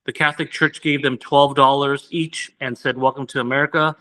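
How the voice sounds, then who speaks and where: A man's voice: medium pitch, steady tone, a little fast, sharp tone. A man whose first language is Vietnamese, a candidate earnestly answering interview questions with many restarts. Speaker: political candidate.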